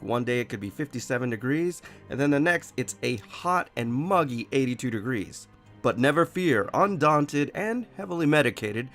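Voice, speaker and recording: A man's voice: mid-range voice, fairly bright tone. Sick, enthusiastic man reviewing collectibles. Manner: effusive, enthusiastic praise. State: sick, voice deeper than usual. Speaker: collectible toy reviewer, show host. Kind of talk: product review voice-over. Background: background music.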